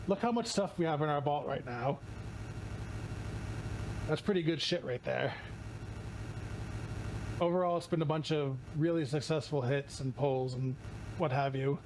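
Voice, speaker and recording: A hoarse man streaming casually while opening card packs, rambling, swearing and often restarting his sentences. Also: fairly high pitch, measured pace, long pauses, background noise.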